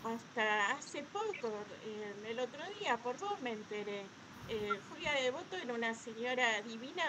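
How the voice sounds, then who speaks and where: A woman with a Buenos Aires Argentine accent, casually reminiscing as a co-host at a talk. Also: a little high. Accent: Buenos Aires Argentine Spanish. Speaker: workshop teacher, co-host. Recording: noisy room with clear background noise.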